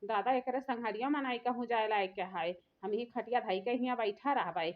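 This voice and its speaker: A woman's voice: fairly high pitch, brisk, lightly acted. A woman voicing an aggrieved, vain old village mother-in-law in a comic cartoon, complaining with an Awadhi accent. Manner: complaining, lamenting. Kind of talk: rustic comic cartoon dialogue. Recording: muffled, phone-like narrow sound.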